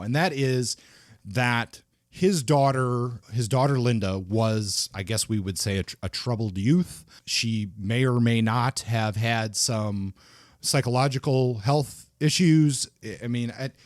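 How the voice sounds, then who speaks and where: A man co-hosting a book podcast, mid-pitched and recorded close and dry with no room sound. He talks in a casual, thoughtful way, often stopping to restart a phrase. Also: dry recording. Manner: thoughtful, halting, with frequent restarts and fillers. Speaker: podcast co-host.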